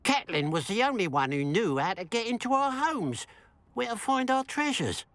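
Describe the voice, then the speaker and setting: An actor playing a bitter, suspicious village shopkeeper in a video game, grumbling with dramatic sarcasm. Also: male voice, high-pitched, sing-song, normal speed, fairly bright tone, no echo, heavily acted. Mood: incredulous, grudging. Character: bitter, suspicious village shopkeeper man, resentful of his sister.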